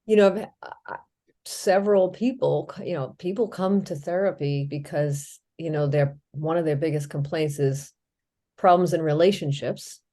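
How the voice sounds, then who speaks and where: A woman's voice: low voice, normal speed, mellow. A middle-aged woman co-hosting a casual podcast, talking thoughtfully and listing ideas with many fillers. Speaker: podcast co-host.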